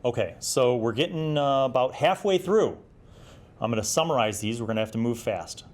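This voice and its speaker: A man's voice: medium pitch, expressive, normal speed, darkish tone. A man hosting a retro gaming review, talking casually and thinking aloud as he goes. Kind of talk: casual rambling review commentary.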